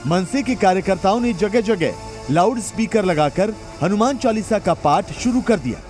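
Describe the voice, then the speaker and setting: High-pitched man narrating dramatic news. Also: animated, normal speed, music in the background. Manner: dramatic, emphatic delivery.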